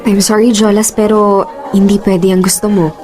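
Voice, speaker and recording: A woman's voice: mid-range voice, flat delivery, moderate pace, a little mellow, close to an everyday voice. An actress playing a composed but caring young female office boss in a Filipino radio drama, speaking earnestly with some English mixed in. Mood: firm. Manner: earnest, conversational, mixes English into speech. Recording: music under the voice. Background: background music.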